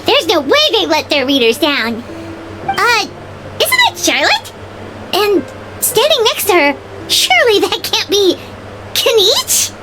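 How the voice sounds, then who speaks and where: Squeaky, very high little fairy companion. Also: female voice, very animated, unhurried, pauses often, very noisy, heavily acted. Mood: excited, curious, then surprised. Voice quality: squeaky, childlike. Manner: exclamatory, dramatic, refers to herself by name. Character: small excitable childlike female fairy companion. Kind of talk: English video game voice acting. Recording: loud game music behind. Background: game music.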